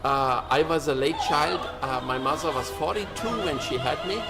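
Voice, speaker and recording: A middle-aged man from Germany, rambling through a self-recorded vlog rant in an animated, self-mocking way that repeats phrases. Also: a little high, normal speed, loud music behind the voice. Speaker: artist vlogger.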